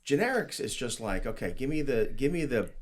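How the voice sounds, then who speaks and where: A man hosting a policy interview, enthusiastic and halting, with many restarts. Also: mid-range voice, big pitch swings. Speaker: host.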